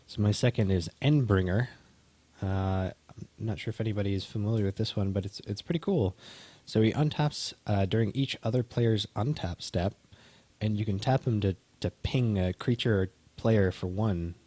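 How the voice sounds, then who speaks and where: A man co-hosting a casual stream, chatting in a relaxed, halting way with frequent restarts. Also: fairly low pitch, dry recording. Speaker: co-host opening card packs. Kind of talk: casual chat.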